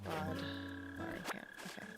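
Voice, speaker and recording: A woman's voice: a little fast, over loud music. A sniffly woman streamer chatting casually and thinking aloud while she plays a game. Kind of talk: casual gaming chat.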